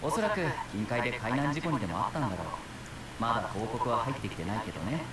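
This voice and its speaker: A man's voice: medium pitch, expressive, rapid-fire, recorded from a distance, noisy background, lightly acted. An actor playing a dutiful young male Japanese police officer in a visual novel, exasperated but patiently questioning.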